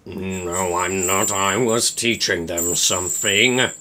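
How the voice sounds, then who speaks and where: A man's voice: low voice, slow pace, pushed and bright, pitched below the performer's own voice, exaggerated voice. A man voicing a sinister, sneering wizard villain in a comic story reading. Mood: defensive.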